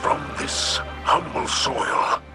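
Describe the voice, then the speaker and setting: A man voicing a wise, gentle alien leader in a video game, solemn and formal, with a breathy growl. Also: loud music behind the voice. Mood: warm, hopeful. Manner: solemn, measured, formal phrasing. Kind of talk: video game cutscene acting.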